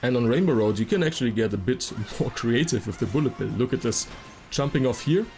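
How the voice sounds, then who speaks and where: A man's voice: medium pitch, expressive, a little fast, a little mellow. A man giving casual, detailed explanatory commentary as a video game guide creator. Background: game music.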